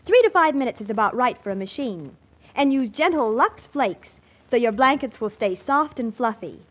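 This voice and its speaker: A woman's voice: on the high side, animated, normal speed. An American woman doing a scripted 1940s radio advertisement in a chirpy, instructive way. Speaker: radio ad spokeswoman. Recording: muffled old radio broadcast.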